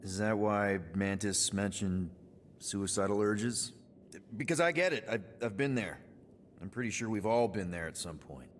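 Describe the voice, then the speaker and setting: An actor playing an easygoing, awkwardly earnest young space crew leader in a cinematic video game, talking casually and haltingly. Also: male voice, lowish voice, animated, big-room sound, a little hiss or hum, lightly acted. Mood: probing, sympathetic.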